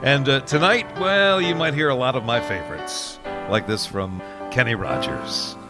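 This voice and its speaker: A man's voice: mid-range voice, moderate pace. A middle-aged man presenting an oldies radio show as its DJ, chatting casually between songs with nostalgic asides. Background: oldies music.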